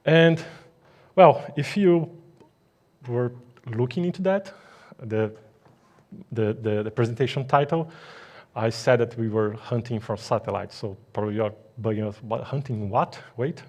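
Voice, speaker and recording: A man's voice: mid-range voice, darkish tone. A man from Brazil, speaking English with a Brazilian Portuguese accent, gives a technical conference talk with many fillers and restarts. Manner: halting, with frequent fillers and restarts. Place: conference hall.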